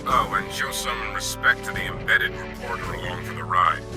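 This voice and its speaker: A man playing a dry, authoritative military commander giving a brisk mission briefing in a video game. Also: sits low, lightly acted. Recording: loud music behind the voice.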